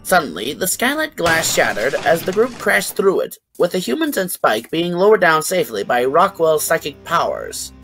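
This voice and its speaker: Animated man narrating a story. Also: on the high side, moderate pace, hardly any pauses, over music, poor audio. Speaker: fan fiction narrator. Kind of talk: expressive scripted story narration.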